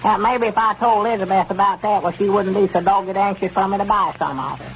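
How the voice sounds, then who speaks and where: A folksy country storekeeper with a rural Southern accent and a slightly high voice. He talks in an earnest, fretful drawl full of homespun grammar. The sound is a dull, faintly noisy 1930s radio comedy serial. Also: quick pace. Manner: drawling, folksy, ungrammatical speech.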